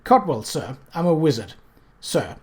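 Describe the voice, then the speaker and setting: A man voicing a fussy, nervous male wizard in a storybook reading, talking high and politely flustered. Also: sing-song, unhurried, darkish tone, slightly rough, exaggerated voice.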